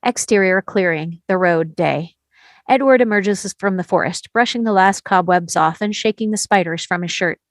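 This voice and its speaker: A woman's voice: lowish voice, normal speed, dry recording. Woman narrating a script, dry sound. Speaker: narrator reading stage directions. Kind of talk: scripted narration in a table read.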